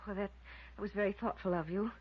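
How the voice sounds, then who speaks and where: A woman's voice: medium pitch, fairly even intonation, fast talker, a little hiss or hum, close to an everyday voice. A woman playing a reserved, polite widow in a 1950s radio drama, hesitant and formal. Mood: subdued, guarded. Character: reserved, polite recent widow with guarded nerves. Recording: muffled old radio recording.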